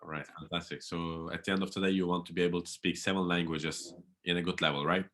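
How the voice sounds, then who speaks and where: A man hosts a podcast interview and asks his questions in an earnest, measured way. His voice is very deep. He speaks English. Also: fairly even intonation, fairly bright tone. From Turkey. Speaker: podcast host.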